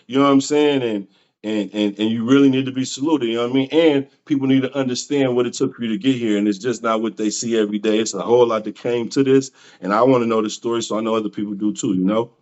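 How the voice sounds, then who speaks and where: A man's voice: medium pitch, fairly even intonation, quick pace, a little mellow, muffled recording. A man hosting a casual interview, talking in respectful slang and often adding "you know what I'm saying.". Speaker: interviewer, host.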